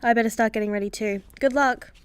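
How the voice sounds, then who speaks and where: An actress playing an eager, brainy superhero teammate, earnest and practical, in a comedy radio play. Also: female voice, on the high side, fairly even intonation, fast, smooth, natural voice. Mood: helpful, earnest.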